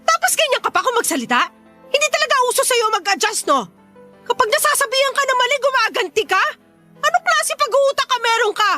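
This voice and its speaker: Shrill, indignant Filipina neighbour. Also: female voice, very animated, a little fast, sharp tone, soft background music, heavily acted. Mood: angry, demanding. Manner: indignant, confrontational, demanding. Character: exasperated woman neighbour confronting a rude neighbour. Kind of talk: Filipino radio drama acting.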